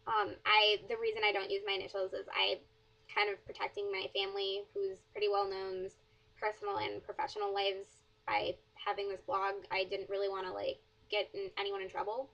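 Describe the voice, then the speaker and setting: A teenage girl from chats through follower questions, her light wrapped in a flat, level tone. She rambles, leaning on "like" and "um" as she goes. The audio is dull and lo-fi, like a cheap webcam mic. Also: mid-range voice, brisk, vocal fry. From southern Wisconsin, USA. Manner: rambling, many fillers and "like". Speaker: blogger answering questions. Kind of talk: casual Q&A vlog.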